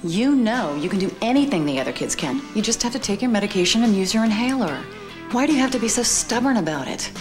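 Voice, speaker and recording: Casting: actress as middle-aged mom, weary and exasperated, lively intonation, music behind. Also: female voice, medium pitch, quick pace, natural voice. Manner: sighing, exasperated. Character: weary, exasperated but caring mother. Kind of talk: scripted educational video acting.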